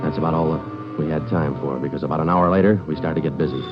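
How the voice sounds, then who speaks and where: An actor playing a matter-of-fact American detective sergeant, clipped and deadpan, in 1940s radio style. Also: male voice, rumbling low, fast, over loud music. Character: dry, matter-of-fact police detective sergeant narrating his case. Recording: dull old 1940s radio recording.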